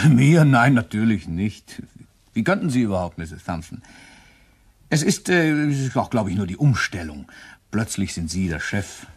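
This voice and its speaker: A man's voice: lowish voice, big pitch swings, moderate pace, husky, heavily acted. An actor playing an uneasy, deferential watchmaker in a German crime radio play, hesitant and nervous in his way of talking. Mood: nervous deference. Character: uneasy, deferential male watchmaker with a guilty secret.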